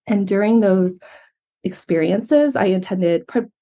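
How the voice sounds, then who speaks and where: A woman's voice: mid-range voice, measured pace. A woman with an American accent, a professor giving thoughtful interview answers with frequent ums. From Yemen, raised abroad. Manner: thoughtful, steady, filler-heavy. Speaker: guest, psychology professor. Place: remote from Montana.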